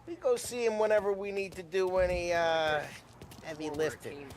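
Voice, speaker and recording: An actor playing a brash, wisecracking mob enforcer with a cocky, casual manner in a video game. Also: male voice, high voice, fairly even intonation, normal speed, soft background music, natural voice. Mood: casual, needling. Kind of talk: cinematic video game acting.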